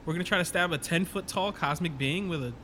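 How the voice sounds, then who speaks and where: Guy doing a sarcastic upbeat tech in a sci-fi podcast, highish voice, noisy background. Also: fast talker, a bit bright, natural voice. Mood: skeptical. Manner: casual, sarcastic. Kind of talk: sci-fi audio drama acting. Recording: clear background noise, sound effects.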